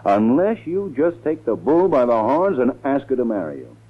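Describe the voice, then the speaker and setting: A man's voice: mid-range voice, lively intonation, normal speed, lightly acted. An actor playing an authoritative, scheming male lawyer in a 1940s radio drama, speaking firmly and insistently. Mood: firm urging. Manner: firm, insistent, commanding. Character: scheming, authoritative lawyer urging and pressuring others. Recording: muffled, thin 1940s radio recording.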